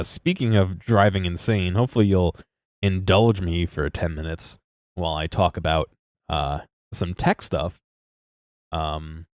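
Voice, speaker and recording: A man's voice: sits low, dry recording, studio quality. A congested man chatting casually to his live-stream audience, rambling and interrupting himself, chuckling now and then.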